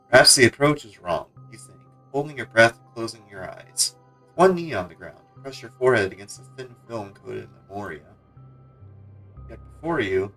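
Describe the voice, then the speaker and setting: A low-pitched man streams a story game, reading its prose aloud and breaking into casual reactions. Music plays faintly behind him. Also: bright, forceful tone, rough recording. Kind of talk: dramatic read-aloud mixed with casual reactions.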